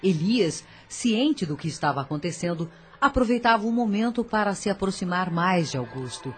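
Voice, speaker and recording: A woman narrator of a scripted radio drama, husky and dramatic, telling the story with a moralizing turn. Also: medium pitch, big pitch swings, normal speed, soft background music.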